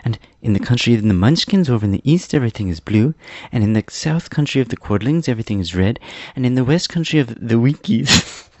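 A man voicing an eager, proud young boy adventurer in a storybook, explaining things excitedly. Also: mid-range voice, fast talker, no room sound, muffled recording, voice pushed up, lightly acted.

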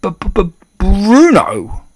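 A man's voice: high-pitched, wildly swooping pitch, very slow, slightly rough, voice pushed up, exaggerated voice. A man voicing an angry, blustering middle-aged English father in a storybook, rude and spluttering. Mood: shocked. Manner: rude, shouting, spluttering, stammering when shocked.

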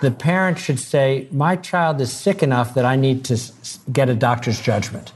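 An earnest man speaking as a doctor in a relaxed talk-show conversation. He explains things patiently, doubling words as he gets going, in an ordinary mid-range voice. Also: mid-range voice, normal speed, a little hiss or hum. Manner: earnest, repeats words when starting sentences. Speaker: doctor, guest.

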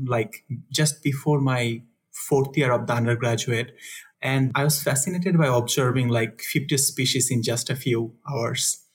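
A young man from Bangladesh, a conservation researcher answering interview questions in a soft, easygoing way with frequent fillers and laughs. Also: mid-range voice, breathy edge.

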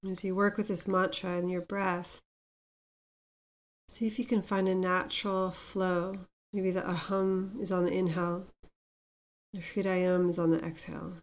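A woman guiding a meditation as host, speaking gently and without hurry, leaving pauses between instructions. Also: lowish voice, little pitch movement. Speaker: meditation guide, podcast host. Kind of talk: guided meditation with mantra chanting.

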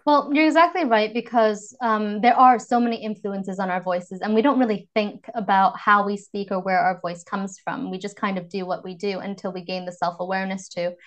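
A woman in her thirties with a Canadian accent talks through a podcast interview in an articulate, measured way. She is a voice coach, and she sits at a mid pitch for a woman and speaks with calm, reflective phrasing. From Vancouver, Canada. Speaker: voice and communications coach.

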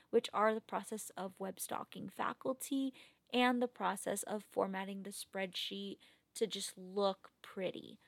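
A young woman records a spoken work log late at night, tired and methodical, picking apart her own habits as she thinks aloud. Her voice has a gravelly, fry-heavy edge. The sound is close and dry, with no room around it. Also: medium pitch, no room sound. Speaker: research assistant logging work progress.

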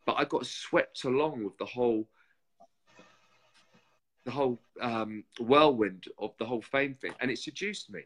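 A man's voice: mid-range voice, a bit bright. A man, a guest on a chat show, who talks reflectively and often stops and restarts his sentences. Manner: reflective, halting with repeated restarts. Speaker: guest, former reality TV contestant. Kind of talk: casual interview chat.

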